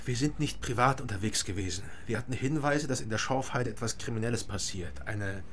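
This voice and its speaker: A man's voice: mid-range voice, fairly quick, fairly bright tone, a little hiss or hum, natural voice. A man playing a wry, understated civilian investigator who also narrates a radio drama. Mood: earnest, polite. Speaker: narrator and lead actor. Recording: music behind the voice.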